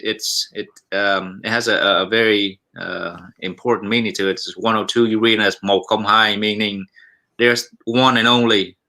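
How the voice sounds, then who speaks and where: A Vietnamese-born man in his forties, a restaurant owner, talking in a halting, polite way with many restarts and repeated "yes, sir". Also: fairly low pitch, bright, forceful tone. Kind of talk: casual interview chat.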